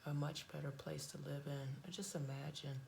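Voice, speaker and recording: A woman chatting casually through a makeup tutorial at home, blunt and chatty, her voice hoarse and cracking. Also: low voice, little pitch movement, normal speed, heavy background noise. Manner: chatty, blunt, frequent fillers and restarts. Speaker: beauty vlogger.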